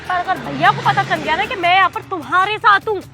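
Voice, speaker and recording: A woman's voice: extremely high pitch, fairly quick, crowd noise. A woman acting a defiant, evasive schoolgirl sister in an amateur melodramatic skit. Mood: exasperated. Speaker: actor in a moral-story video.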